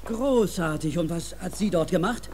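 A man's voice: high voice, lively intonation, normal speed, darkish tone, a little hiss or hum. A middle-aged man playing an insistent, commanding police officer and protective father in a radio play. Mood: stern, probing.

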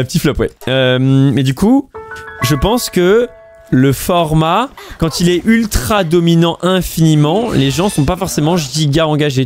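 A man hosting a stream, chatting casually while he plays a game, rambling with repeated words and fillers and cursing freely. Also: fairly high pitch. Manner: swears casually. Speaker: streamer host. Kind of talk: casual chat while gaming.

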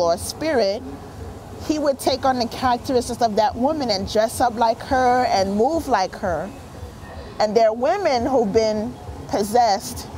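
A woman's voice: on the high side, a little mellow, a little hiss or hum, rough recording. A woman in her forties, a native Haitian Creole speaker, talks earnestly and reflectively in a documentary interview on a city sidewalk. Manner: reflective, earnest, gesturing with hands. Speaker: performance artist. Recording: music bed under the voice.

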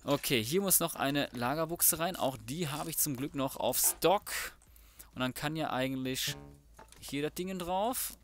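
A man's voice: fairly high pitch, unhurried, soft background music. A man giving casual, colloquial game commentary, muttering to himself as he works through tasks. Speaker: let's play host. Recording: clear background noise, mouse clicks.

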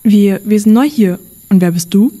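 A woman's voice: medium pitch, normal speed, warm tone, lightly acted. A female performer playing a resourceful, level-headed young runaway in an amateur audio drama. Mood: tentative.

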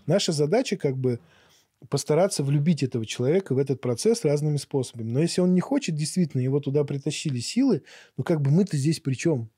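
A middle-aged man speaks as a guest in a conversational interview, explaining and telling anecdotes. His voice is dark and slightly rough, at an ordinary pitch. The sound is dry and close, from a treated podcast studio. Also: animated, fast talker, no room sound. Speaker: podcast guest, barber and teacher. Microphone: boom-mounted studio microphone up close.

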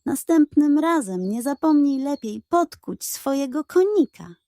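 A woman's voice: sits high, expressive, a little slow, dark tone, dry recording, clean studio sound, voice pushed up. Woman voicing a smug, taunting cowboy villain. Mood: mocking. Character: mocking, cheating male cowboy racer, a villain.

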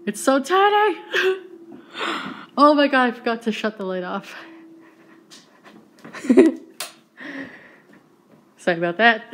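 A woman in her thirties chatting casually on her vlog with a stuffy nose, talking into a phone camera at arm's length in a small bedroom. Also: medium pitch. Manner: chatty and excitable, laughs often. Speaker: YouTuber.

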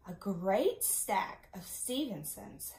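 A woman in her thirties, a schoolteacher reading a picture book aloud to young children in an expressive, teacherly way, often putting questions to the listeners. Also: mid-range voice, slow. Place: small indoor classroom-style room. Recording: echoey room.

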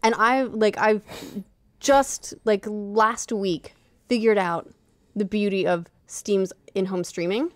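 A woman's voice: medium pitch, fairly even intonation, slow, no room sound, studio quality. A woman in her thirties co-hosting a gaming podcast in casual, conversational talk. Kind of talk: casual chat. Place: podcast studio, indoors. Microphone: studio podcast microphone at desk.

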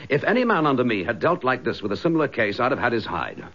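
An actor playing an embattled, indignant British police chief inspector in a radio drama, clipped, forthright and sardonic. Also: male voice, mid-range voice, big pitch swings, quick pace, fairly bright tone, slightly rough, heavily acted. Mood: coolly defiant. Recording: slightly dull radio drama recording.

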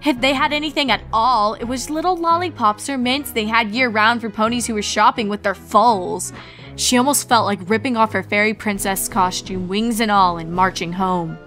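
A woman's voice: on the high side, moderate pace, a bit bright. A woman reading a scripted fan fiction story as its narrator. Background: background music.

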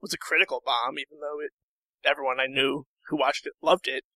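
A man talking casually as a podcast guest, in a halting, rambling way. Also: medium pitch, steady tone, bright, forceful tone, dry recording, rough recording. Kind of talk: casual discussion.

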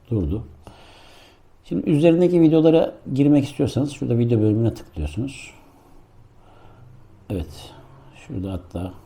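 Dark-voiced man giving a casual gadget review. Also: mid-range voice. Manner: improvised, informal step-by-step explaining. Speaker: product reviewer. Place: indoor room.

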